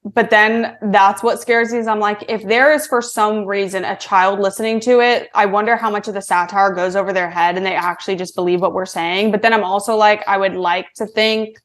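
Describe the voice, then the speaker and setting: A woman's voice: medium pitch, steady tone, a little fast, hardly any pauses. A woman hosting a podcast in casual comedic banter, slangy and sweary, often poking fun at herself. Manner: slangy, sweary, self-mocking. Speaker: podcast host.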